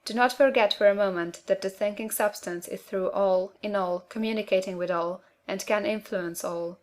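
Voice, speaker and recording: A woman narrating a self-help audiobook in a measured, earnest way, articulating each phrase clearly. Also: medium pitch, fairly even intonation.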